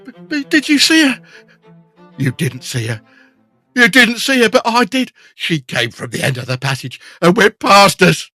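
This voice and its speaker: Panicky landlord in a spooky audio drama, babbling and stuttering, voice raspy and swooping all over. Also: male voice, high voice, very animated, normal speed, pushed and bright, no room sound, faint music behind, full character voice. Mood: shaken, fearful. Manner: stammering, repeating himself. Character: terrified, babbling male landlord witnessing a ghost. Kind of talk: Victorian ghost story audio drama.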